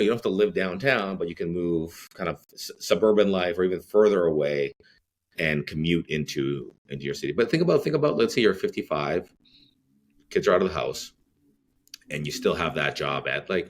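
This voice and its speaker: A middle-aged man from Greece, a company head as podcast guest, talking in a rambling way with many fillers and restarts. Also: deep voice. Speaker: real estate CEO guest. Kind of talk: podcast interview.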